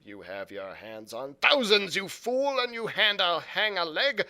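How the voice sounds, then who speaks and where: A man voicing a furious, bullying, blind old pirate in a storybook reading, ranting with drawn-out, emphatic words. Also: high-pitched, sing-song, pushed and bright, pitched above the performer's own voice, exaggerated voice. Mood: scornful rage.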